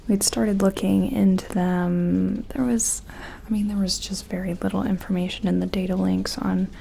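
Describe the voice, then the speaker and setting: A woman doing a gentle ASMR roleplay as a careful scientist examining an alien, speaking softly and often slipping into a whisper, hesitant and reassuring. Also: mid-range voice, level tone, normal speed, a little hiss or hum. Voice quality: soft, breathy, often dropping to a whisper.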